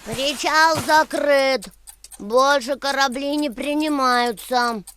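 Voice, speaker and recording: A woman's voice: sits high, takes it slowly, fairly bright tone, a little hiss or hum. A woman voicing a bossy, stubborn little boy kitten in a children's cartoon, with a childlike manner. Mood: sulky, stubborn.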